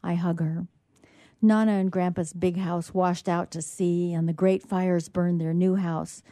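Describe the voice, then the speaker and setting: Woman author, short story reading, slow measured pace, dark mellow tone, dry sound. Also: lowish voice, flat delivery, dry recording.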